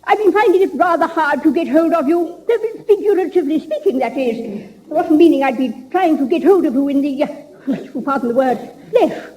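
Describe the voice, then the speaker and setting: An actress playing a fussy, genteel middle-aged spinster with a prim, flustered manner. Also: female voice, sits high, lively intonation, a little fast, warm tone, rough recording. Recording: old radio broadcast.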